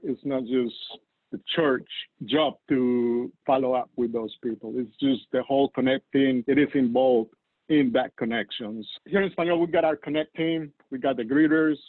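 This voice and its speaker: A man with a Colombian Spanish accent speaks unhurriedly, pausing to find his words in English. His voice comes through a thin, phone-like line with a dry, close sound. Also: mid-range voice, fairly even intonation, no room sound. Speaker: church campus leader. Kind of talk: podcast guest interview.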